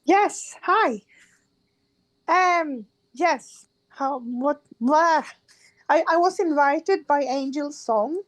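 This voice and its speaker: Shy, slow woman. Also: sits high, poor audio. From Sweden. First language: Swedish. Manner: shy, hesitant, searching for words. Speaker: guest panelist.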